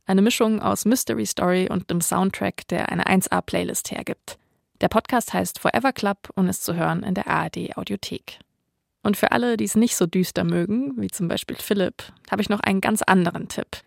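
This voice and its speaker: A woman's voice: medium pitch, a little fast, vocal fry, no echo, clean studio sound. A woman, hosting a podcast and narrating from a script. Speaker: podcast host.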